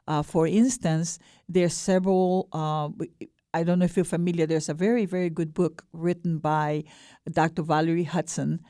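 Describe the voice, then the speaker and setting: A mature woman with an Ecuadorian Spanish accent, speaking earnestly and haltingly as a guest in a radio interview. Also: sits low, level tone, dark tone, dry recording, studio quality. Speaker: guest, board director of a peace nonprofit.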